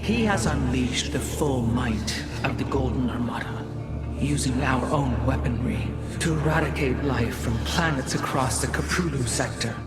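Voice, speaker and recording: An actor playing an earnest, wary alien adviser who speaks formally and precisely in a game cutscene. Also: male voice, medium pitch, normal speed, natural voice. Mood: grave, wary. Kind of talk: dramatic video game cutscene acting. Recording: loud music behind the voice.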